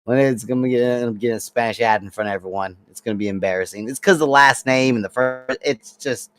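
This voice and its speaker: A man's voice: medium pitch, fast talker, a bit bright, soft background music. A young man streaming, chatting casually in a laid-back, rambling way and laughing often. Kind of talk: casual group chat.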